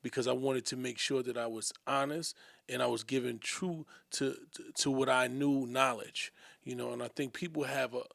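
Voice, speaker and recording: A man co-hosting a discussion show, reflecting aloud in a measured way and often asking "right?". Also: medium pitch, fairly even intonation, vocal fry, a bit bright, no echo, clean studio sound. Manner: measured, thinking aloud, tag questions. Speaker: podcast co-host.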